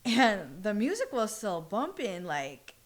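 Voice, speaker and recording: A woman's voice: medium pitch, wildly swooping pitch, slow pace. A young woman chatting casually and telling stories in loose, slangy speech. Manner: informal, slangy, storytelling. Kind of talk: casual chat.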